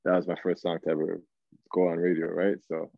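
A young man from Toronto, Canada, chatting casually as an interview guest, halting and repeating words as he thinks, over a video call. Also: low voice, monotone, vocal fry, dry recording. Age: young adult. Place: indoor room at home, video call. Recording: narrow, phone-like video call audio.

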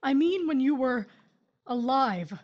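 An actress playing a grieving, embittered widow in an audio drama, stubborn, torn and exasperated. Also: female voice, high voice, expressive, takes it slowly, dull audio. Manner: confrontational, exasperated.

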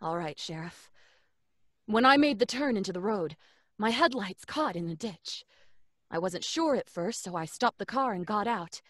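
An actress playing a grave, guarded doctor in an old-time radio drama, measured and hesitant. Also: female voice, mid-range voice, expressive, a bit bright, dry recording, clean studio sound. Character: grave, guarded woman doctor bearing grim news. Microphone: large studio condenser microphone.

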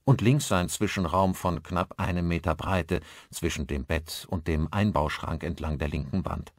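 Male narrator, low register, dry close studio sound, measured and articulate thriller reading. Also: no echo. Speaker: audiobook narrator. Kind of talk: scripted audiobook narration of a thriller.

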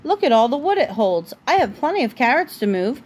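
A woman voicing an impatient, grumbly young female bunny in a storybook, with expressive reading. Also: sits high, fairly quick. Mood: surprised.

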